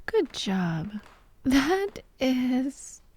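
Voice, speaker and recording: A woman's voice: sits high, very animated, extremely slow, darkish tone, husky, full character voice. A woman playing a vengeful fired actress holding hostages in an ASMR audio drama, taunting and theatrical, often dropping to a whisper. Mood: gloating, cruel. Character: vengeful fired actress, sadistic hostage-taker directing victims.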